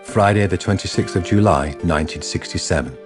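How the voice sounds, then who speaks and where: A man's voice: sits low, a little fast, faint music behind. A man narrating a radio drama from a script.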